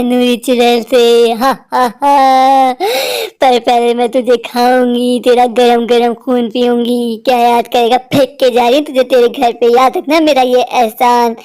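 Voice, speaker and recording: A woman's voice: fairly high pitch, darkish tone, clear, resonant tone, lightly acted. A woman voicing a cruel, hungry witch in a cartoon story, menacing, taunting and boastful. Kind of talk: Hindi cartoon story acting.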